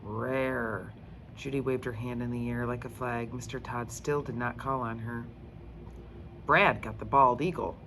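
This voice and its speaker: A woman reading a children's book aloud in an expressive, emphatic storytelling style. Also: very deep voice, noisy background. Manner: animated, emphatic, deliberate character reading.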